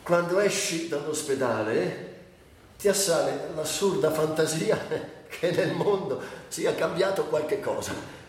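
An actor playing an anguished, bitterly ironic sick man in a theatrical radio monologue, speaking in broken, lyrical phrases with long pauses. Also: a little high, animated. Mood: bitter, grave. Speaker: stage actor and director. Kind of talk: dramatic literary monologue for radio. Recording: very echoey, distant, with faint hiss.

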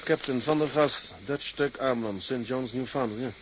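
An actor playing a stoic, grieving young sea captain, speaking tersely and subdued in a period drama. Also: male voice, medium pitch, moderate pace, noisy background, lightly acted. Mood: stunned, grieving.

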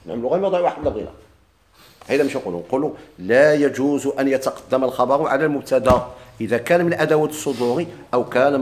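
A man teaching Arabic grammar in a Moroccan accent. He quizzes his students, repeats their answers back to them, and drags out the quoted examples slowly. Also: mid-range voice, a little mellow. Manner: drawls quoted examples slowly, quick plain explanations. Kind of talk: classroom lesson, questioning students.

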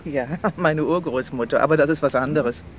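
An actor playing an easygoing police officer with a hesitant, understated delivery in a German radio crime drama. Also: male voice, a little high, moderate pace, slight background noise, close to an everyday voice. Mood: reminiscing. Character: easygoing police officer telling an odd family memory.

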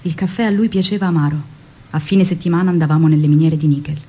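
Devoted, longing wife, slightly husky. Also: female voice, low voice, quick pace, mellow. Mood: tender reminiscence. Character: Aleida, devoted, longing young wife of a revolutionary. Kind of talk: theatrical radio drama monologue and dialogue.

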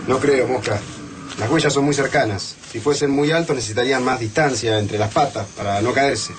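A plainspoken working-class man voiced by an actor in a radio play about survivors. He has an Argentine accent and a medium pitch, heard away from the mic with faint noise behind. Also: fairly quick, distant mic, close to an everyday voice. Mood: reflective. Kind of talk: radio drama acting.

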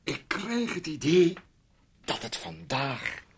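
A man's voice: fairly high pitch, huge pitch swings, slow, breathy edge, exaggerated voice. An actor playing a domineering man in a radio play, sarcastically needling and teasing his timid housemate, swinging between outbursts and muttering. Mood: mocking. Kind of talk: Dutch radio play acting.

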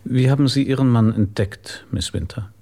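An actor playing a businesslike police inspector in a German radio crime drama, calm, formal and questioning. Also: male voice, medium pitch, moderate pace, close to an everyday voice. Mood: calm, probing.

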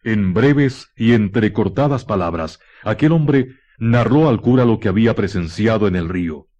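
A man's voice: lowish voice, animated, moderate pace, a little mellow. A man giving solemn, literary narration for a radio drama. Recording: dull lo-fi 1990s radio recording. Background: music.

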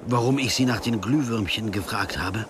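An actor playing a hard-drinking, uneasy traveller with a wry, brooding manner in a German radio play. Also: male voice, fairly low pitch, moderate pace, noisy background, close to an everyday voice.

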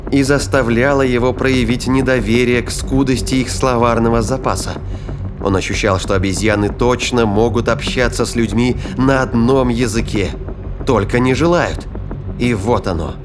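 A man's voice: medium pitch, steady tone, normal speed, background noise. A man narrating an audio drama in a dramatic, scripted storytelling style. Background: music.

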